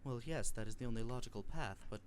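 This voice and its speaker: A man voicing a calm, pedantic young fighter with measured, deadpan logic in an anime parody dub. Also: medium pitch, a little hiss or hum, lightly acted. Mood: calmly analytical.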